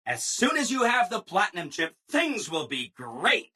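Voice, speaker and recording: Game robot assistant: bright, very animated, ingratiating, relentlessly upbeat people-pleaser. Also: male voice, sits high, normal speed, breathy edge, heavily acted. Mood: chipper, helpful. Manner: chipper, ingratiating, emphatic, apologetic people-pleaser. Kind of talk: scripted video game dialogue.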